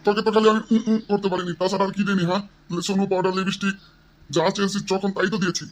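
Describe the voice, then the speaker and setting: A man's voice: high-pitched, little pitch movement, rapid-fire, background noise, lightly acted. A man voicing a jealous, quarrelsome old village husband, nagging and blustering in an exaggerated comic Bengali drama style. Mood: accusing.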